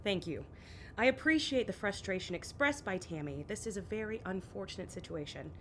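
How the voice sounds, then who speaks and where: A woman's voice: lively intonation, fairly quick, fairly bright tone. An actress playing a sensible, no-nonsense wife and mother in an audio drama, firm and reasoning. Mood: diplomatic. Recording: faint noise with low rumble.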